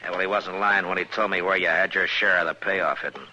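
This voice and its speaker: Stern western marshal: low, hard-driving voice, firm and clipped authority, vintage radio sound with light noise. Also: male voice, steady tone, fast talker, sharp tone. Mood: firm, accusing. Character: stern, steady frontier marshal, a grown man. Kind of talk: old-time radio western drama acting. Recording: dull, lightly noisy old radio recording.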